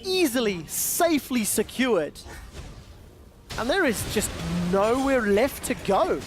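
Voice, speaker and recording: High-voiced play-by-play esports caster. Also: male voice, sing-song, noisy background. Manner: dry banter, brisk play-by-play. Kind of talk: play-by-play commentary. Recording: music bed under commentary.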